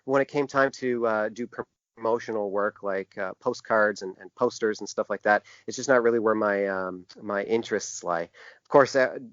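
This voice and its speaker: A man answering interview questions as a designer, in a conversational but halting way, often restarting his phrases. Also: fairly low pitch, a bit bright, dry recording. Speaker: podcast guest, book designer. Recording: dull, band-limited lo-fi sound.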